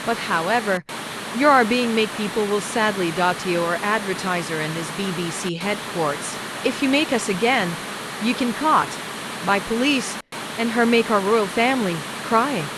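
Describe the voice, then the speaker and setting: A woman reading a scripted emergency broadcast announcement as its announcer. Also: mid-range voice. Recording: loud hiss and rushing noise throughout.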